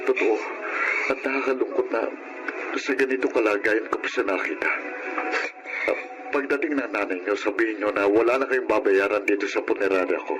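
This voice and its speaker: A man's voice: lowish voice, steady tone, brisk, long pauses, music in the background, natural voice, low-quality recording. A man playing a kindly, remorseful middle-aged funeral parlor owner in Filipino melodrama style. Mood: kindly, sombre. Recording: clear background noise, radio-like sound.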